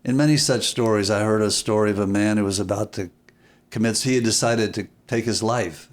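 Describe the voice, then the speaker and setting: A man with a lowish voice tells stories as a guest in an interview. He is reflective and deliberate. He halts, restarts and repeats key phrases for emphasis. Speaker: podcast guest, filmmaker.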